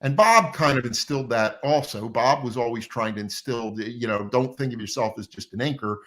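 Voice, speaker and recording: A man's voice: medium pitch, a little fast, a bit bright. A middle-aged or older man telling rambling anecdotes as a guest in a casual interview about his working life. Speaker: comic book artist and interview guest. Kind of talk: casual reminiscing interview chat.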